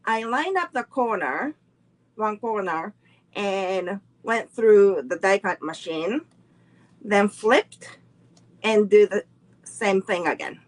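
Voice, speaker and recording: Woman, middle-aged, card-making instructor, very slow unhurried delivery, chatty live-class teaching. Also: mid-range voice, expressive, rough recording. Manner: slow, unhurried, greets viewers and chats between steps. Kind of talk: live online craft class.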